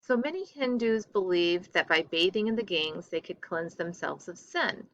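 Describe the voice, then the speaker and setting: A woman teacher reads a lesson aloud at an unhurried, slow pace. Her voice is bright and clearly projected, at a medium pitch. She phrases carefully and deliberately, as if guiding students through a text. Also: highs cut off, a little hiss or hum. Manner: careful, deliberate reading, stumbles over foreign names. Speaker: teacher reading a textbook aloud. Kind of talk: read-aloud lesson narration.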